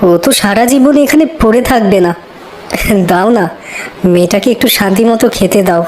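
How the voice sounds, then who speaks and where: A woman voicing a gentle, indulgent father with a soothing, coaxing manner in a children's moral cartoon. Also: medium pitch, fairly quick, a little hiss or hum, lightly acted. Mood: protective. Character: gentle, indulgent father defending his daughter.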